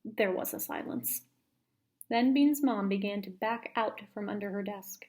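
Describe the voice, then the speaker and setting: A woman's voice: medium pitch, measured pace, darkish tone. A woman narrating a children's story aloud, as a teacher reading to pupils.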